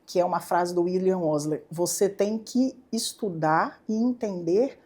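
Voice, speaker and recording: A middle-aged woman neurologist from southeastern Brazil, guesting on a podcast with a Brazilian Portuguese accent and a lively, playful way of telling her story. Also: lowish voice, unhurried, husky. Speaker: neurologist and university professor, guest. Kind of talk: casual interview chat. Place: podcast studio.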